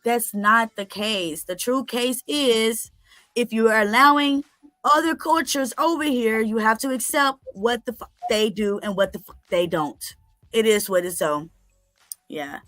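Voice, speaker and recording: A woman's voice: on the high side, measured pace, fairly bright tone, dry recording. A woman giving her opinions in casual commentary, rambling from point to point.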